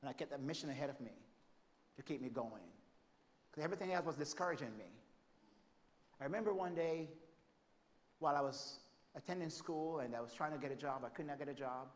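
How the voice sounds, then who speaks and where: A man with a Jamaican accent preaching a sermon, moving between loud, emphatic declarations and soft, hushed storytelling. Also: on the high side, brisk, long pauses. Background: congregation calling out amens.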